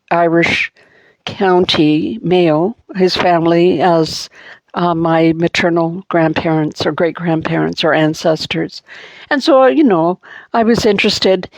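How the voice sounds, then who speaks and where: A middle-aged woman with an Anglophone Montreal accent, giving a halting personal monologue full of fillers and restarts. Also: lowish voice, a little mellow, dry recording, rough recording. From Montreal, Canada. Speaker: vlogger.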